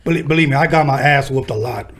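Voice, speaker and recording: A man's voice: medium pitch, quick pace, fairly bright tone, slight background noise. A man in his fifties hosting a casual interview podcast, from the Los Angeles harbor area, telling laid-back, joking stories. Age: 50s. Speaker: podcast host.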